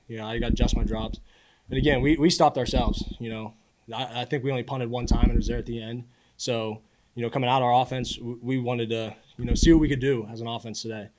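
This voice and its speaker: A man in his early twenties, a college quarterback, answering press questions in a casual, earnest way with halting repeated words. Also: medium pitch, poor audio. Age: early 20s. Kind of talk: postgame press conference answers. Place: indoor press room at a podium. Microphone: podium microphone.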